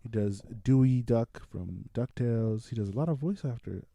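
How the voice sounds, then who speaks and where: A man bantering casually about films as a podcast host, blunt, excitable and foul-mouthed, repeating himself for emphasis. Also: mid-range voice, moderate pace, vocal fry, dry recording. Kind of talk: casual film review banter.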